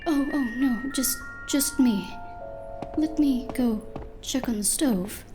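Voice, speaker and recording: A woman's voice: high voice, slow pace, over music. A woman playing a nervous, evasive diner cook with a hesitant, stammering manner in a noir audio drama. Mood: anxious.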